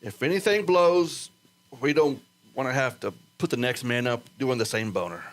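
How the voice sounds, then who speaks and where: A man's voice: mid-range voice, moderate pace, natural voice. A man playing an anxious flight director in an old-time science fiction radio drama, hesitant and prone to stammering. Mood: grave, instructing. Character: anxious male test flight director in rocket program.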